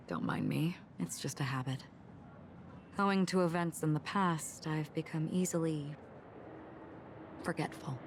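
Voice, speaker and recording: An actress playing a melancholy, forgetful swordswoman in a game, speaking in a measured, soft and reflective way. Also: deep voice, slight background noise. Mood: pensive, subdued. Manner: measured, solemn, soft-spoken. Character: melancholy, forgetful swordswoman, calm and enigmatic wanderer. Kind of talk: scripted game dialogue, reflective storytelling.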